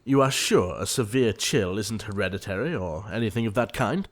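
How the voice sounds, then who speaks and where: An actor playing an earnest, fussy young gentleman in a comedy audio play. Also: fairly low pitch, expressive, moderate pace, lightly acted. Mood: brisk, dismissive. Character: earnest, easily exasperated young English gentleman, lovesick.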